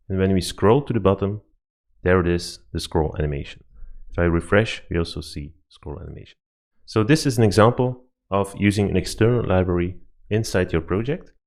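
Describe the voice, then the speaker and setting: A man whose first language is Dutch, talking through a software platform step by step in a screen-recorded tutorial, with slightly non-native phrasing. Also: sits low.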